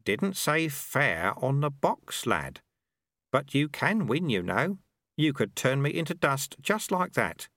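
A man's voice: medium pitch, very animated, takes it slowly, fairly bright tone, dry recording, studio quality, pitched above the performer's own voice, heavily acted. A man voicing a wily old male martial arts master who teases and bosses his pupil. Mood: sly teasing. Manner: sly, teasing, bossy.

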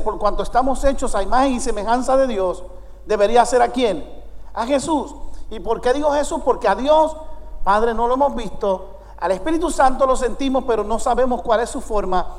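A man in his fifties with a Puerto Rican Spanish accent, preaching a sermon and calling out to his congregation. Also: very high-pitched. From United States. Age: 50s. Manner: preaching with call-and-response to the congregation. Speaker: pastor. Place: church sanctuary. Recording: long reverb of a large church hall.

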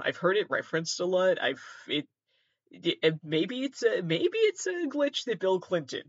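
A man with a fairly high voice gives loose, off-the-cuff reaction commentary. He is sardonic and sweary, often half talking to himself. The sound is slightly dull and lo-fi. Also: fairly bright tone, no echo. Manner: sardonic, sweary asides and muttered self-talk. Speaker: host. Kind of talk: casual rambling reaction commentary, reading aloud from web articles.

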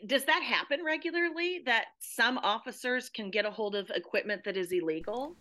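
A woman from the United States, an expressive podcast guest discussing drama conversationally and with emphasis. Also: on the high side, normal speed.

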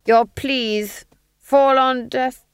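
A woman's voice: high-pitched, steady tone, very slow, smooth, no room sound, studio quality, voice pushed up. A woman voicing a pompous, gloating and unhinged Duke with grandiose, theatrical phrasing, in a fantasy audiobook.

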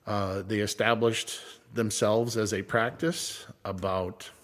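A man from California who later lived in Minnesota, chatting casually and unscripted, halting and correcting himself as he rambles. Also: fairly low pitch, fairly even intonation. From San Francisco Bay Area, then Minnesota. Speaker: co-creator and actor of a fictional small-town show. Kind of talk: casual unscripted backstory discussion. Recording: echoey room with long reverb.

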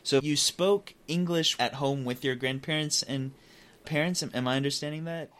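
A man's voice: a little high, moderate pace, fairly bright tone. A man hosting a podcast, asking curious follow-up questions in a casual interview. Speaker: podcast host.

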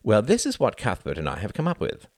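A man voicing a confident young diplomat in an audiobook, explaining in a brisk, self-assured way. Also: lowish voice, huge pitch swings, a little mellow, no echo, clean studio sound. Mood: lecturing. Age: 20s.

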